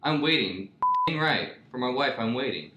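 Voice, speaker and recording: A swaggering, defensive tough-guy husband, acted by a man in a radio play spoof. His mid-range voice is emphatic and repetitive, in an echoey room with loud noise. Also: mid-range voice, lively intonation, normal speed, muffled recording, lightly acted. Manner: repetitive, emphatic, answers with questions. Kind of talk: satirical radio play acting.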